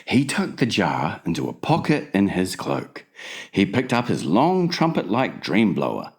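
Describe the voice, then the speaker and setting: Slow, very expressive male storybook narrator. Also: low-pitched, creaky. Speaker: audiobook narrator. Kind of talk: dramatized scripted storybook narration.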